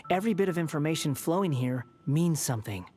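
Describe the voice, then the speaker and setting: A man's voice: on the high side, expressive, fast, darkish tone, natural voice. An actor playing a snarky, aloof young male wanderer in a game, speaking with cool, condescending, backhanded politeness. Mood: smug. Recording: game audio under music.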